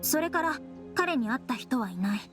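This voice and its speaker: A woman's voice: high voice, sing-song, moderate pace, over music. A woman playing a capable, confident young female officer in a video game, dutiful and matter-of-fact, with feminine phrasing. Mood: serious. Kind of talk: Japanese game voice acting.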